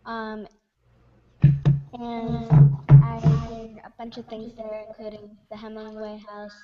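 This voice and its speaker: A young woman guest giving brief, hesitant answers with many pauses. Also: on the high side, monotone, crowd noise. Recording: faint, distant, dull audio.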